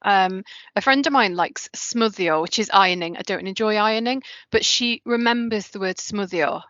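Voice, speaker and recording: A woman's voice: mid-range voice, normal speed, a bit bright, no echo, highs cut off. A woman moderating a casual question session, chatty and a little hesitant, poking fun at herself. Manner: chatty, hesitant, self-deprecating. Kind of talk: casual Q&A chat.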